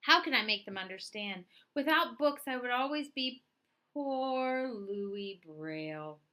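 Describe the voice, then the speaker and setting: A woman reading a picture book aloud as a storyteller, with expressive, deliberate phrasing. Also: fairly high pitch. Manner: dramatic, deliberate phrasing with acted dialogue. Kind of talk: expressive children's read-aloud narration.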